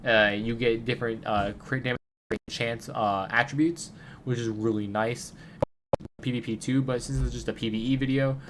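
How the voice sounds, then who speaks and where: A man with a cold gives a loose, chatty game guide, and his voice comes out stuffy through a blocked nose. He wanders through his points with lots of fillers and restarts, at a middling pitch. A faint hiss sits behind him. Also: fairly even intonation, normal speed. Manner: rambling, many fillers and restarts. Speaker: gaming video creator. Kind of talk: casual game guide commentary.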